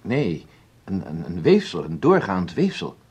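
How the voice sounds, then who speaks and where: Troubled man, animated and husky. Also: sits low, unhurried, exaggerated voice. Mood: troubled, defensive. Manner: earnest, questioning, hesitant. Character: troubled, searching male programme maker. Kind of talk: Dutch radio play acting.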